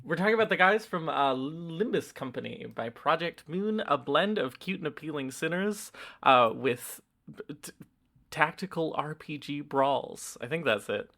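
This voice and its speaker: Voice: mid-range voice, animated, unhurried, a bit bright. A podcast host chatting casually, joking and rambling through the intro. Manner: joking, self-deprecating, rambling. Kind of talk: casual chat.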